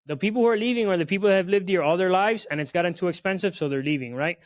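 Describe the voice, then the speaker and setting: A young man from South Florida hosting a podcast, talking in a relaxed, chatty way with lots of "bro" and "you know what I mean.". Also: high-pitched, rapid-fire. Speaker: podcast host. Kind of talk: casual chat.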